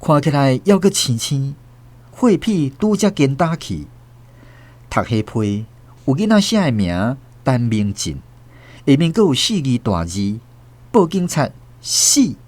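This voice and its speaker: A man's voice: mid-range voice, big pitch swings, unhurried, a little mellow. A man with a Taiwanese accent reading dramatic scripted narration for a radio drama.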